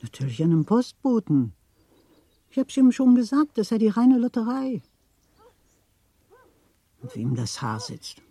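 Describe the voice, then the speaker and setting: Theatrical, gossipy widow, swooping voice. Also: female voice, on the high side, very animated, measured pace, long pauses, dark tone, husky, no room sound, exaggerated voice. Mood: dry, teasing. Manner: theatrical, insinuating, chatty, repeats words for emphasis. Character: worldly, gossipy middle-aged widow of a Berlin official. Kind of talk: German radio play acting.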